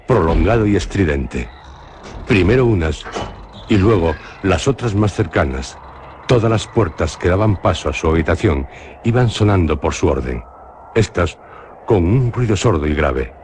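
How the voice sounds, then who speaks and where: A man with a Spanish accent narrating a suspenseful radio drama in a slow, grave, measured way. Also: low-pitched, darkish tone, a little hiss or hum. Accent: Spain.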